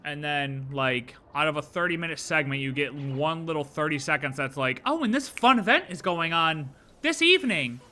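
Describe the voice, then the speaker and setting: Bright-voiced, excitable game streamer. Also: medium pitch, normal speed, few pauses. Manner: excitable, sarcastic, joking. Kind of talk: live game reaction stream commentary.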